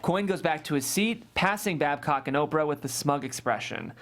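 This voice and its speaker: A man reads scripted stage directions from a screenplay. His voice sits a little high for a man, and he delivers the action as narration for a table read. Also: normal speed, a bit bright.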